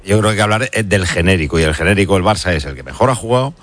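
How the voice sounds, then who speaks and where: A man's voice: sits low, animated, very fast, fairly bright tone. A man with an accent from Spain giving his opinions as a pundit in an unscripted football debate.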